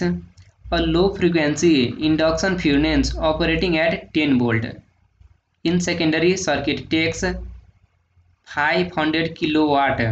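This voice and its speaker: A man working through engineering numericals in a steady, methodical lecture, often adding "uh" and "sir". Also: on the high side. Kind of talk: solving numericals on handwritten notes. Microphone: voice-over on screen recording. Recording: echoey room, dull lo-fi sound, faint noise.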